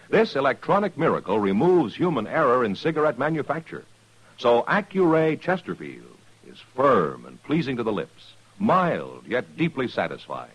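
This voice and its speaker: A man's voice: mid-range voice, a bit bright, breathy edge, low-quality recording. An American man delivering an emphatic, scripted 1950s radio advertisement in a salesman's manner. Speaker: commercial announcer. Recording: vintage 1950s radio recording.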